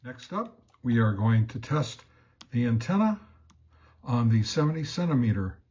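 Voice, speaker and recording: A man narrates a casual product test as host, in a halting, plain-spoken, step-by-step way. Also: mid-range voice, very animated, dark tone, muffled recording. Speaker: host reviewing radio gear.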